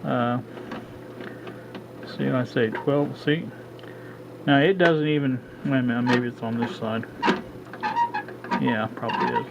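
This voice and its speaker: Man thinking aloud over muffled noise. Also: mid-range voice. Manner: halting, thinks aloud with frequent uh. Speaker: hobbyist radio restorer. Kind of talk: casual hands-on demo narration. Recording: dull lo-fi with steady background noise.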